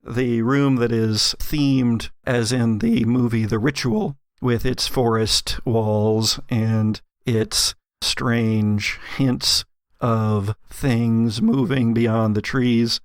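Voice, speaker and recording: A man with a creaky, mid-pitched voice runs a tabletop horror game. He narrates dramatically, pausing for suspense between descriptive phrases. The recording is close and dry. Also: fairly even intonation, no room sound. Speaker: game master. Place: home studio.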